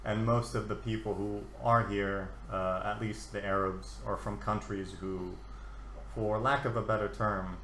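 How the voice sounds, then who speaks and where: A man's voice: fairly low pitch, steady tone, unhurried, a bit bright, a little hiss or hum. A man around thirty hosting a casual podcast, friendly and joking, with many filler words. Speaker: podcast host. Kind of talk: casual chat. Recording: echoey room with faint ambience.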